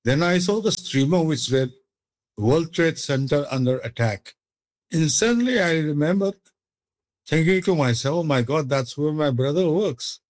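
A man's voice: on the high side, animated, a little slow, no echo. A middle-aged man from India with an Indian accent, recalling personal memories as an interviewee. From Manipur, India. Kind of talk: personal recollection.

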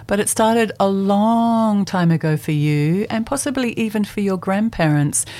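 A woman hosting an interview, asking gentle, curious questions. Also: medium pitch, lively intonation, measured pace. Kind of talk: conversational interview.

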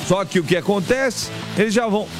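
A man's voice: sits high, fairly quick. A Brazilian man hosting a football radio show, giving emphatic, colloquial opinions in a chatty commentary style. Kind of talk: opinionated sports commentary and chat. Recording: music bed under broadcast voice. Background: music.

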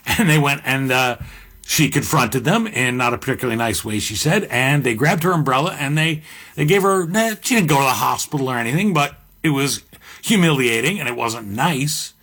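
Middle-aged male host, deep and slightly husky, conversational and musing. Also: animated, moderate pace, a bit bright. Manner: conversational, musing, with asides and restarts. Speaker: podcast host. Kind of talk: reflective monologue.

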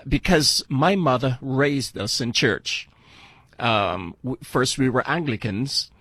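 An older man from Barbados, a radio guest telling his life story in an earnest, halting way. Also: mid-range voice, a bit bright, no echo, rough recording. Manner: earnest, halting with fillers and restarts.

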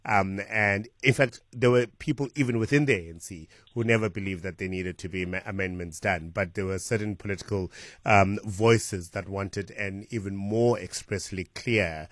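A man hosting a talk radio call-in, his voice very low and dry from a close studio mic. He explains things steadily but hesitantly, with plenty of ums and uhs. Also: fairly bright tone, dry recording. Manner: measured, explanatory, hesitant with fillers. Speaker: radio talk show host. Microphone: studio microphone.

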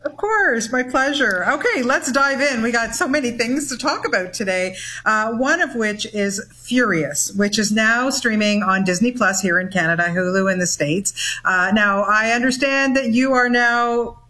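A woman's voice: fairly high pitch, normal speed, sharp tone. A woman film critic talking enthusiastically and chattily as a radio guest. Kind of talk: radio interview chat.

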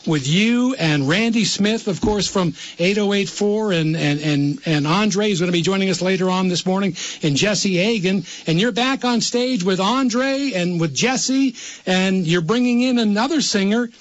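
High-voiced man hosting a radio chat. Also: normal speed. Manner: rambling, stumbles into repeated words. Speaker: radio host. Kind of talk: live radio interview.

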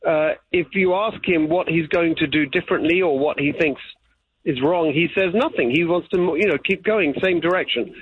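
A man's voice: on the high side, moderate pace, slight background noise. Man on a tinny phone line. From England. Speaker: political candidate. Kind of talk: interview answers.

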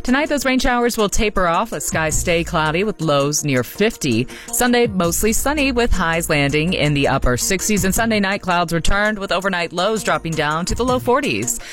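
A female meteorologist reading a radio weather forecast in a smooth, steady way. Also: lowish voice, moderate pace, hardly any pauses, soft background music.

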